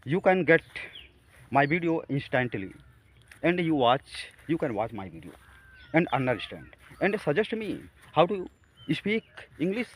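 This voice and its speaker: Halting Indian man, lively pitch. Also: slight background noise. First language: Hindi. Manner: halting, with frequent fillers and restarts. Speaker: English-practice vlogger. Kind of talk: casual talk to camera.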